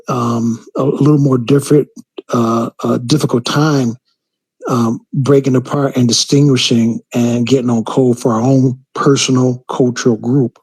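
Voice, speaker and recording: A man calling in to a talk show, speaking in a halting way with many fillers and restarts. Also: mid-range voice, darkish tone, breathy edge, no room sound. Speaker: caller. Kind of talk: call-in commentary.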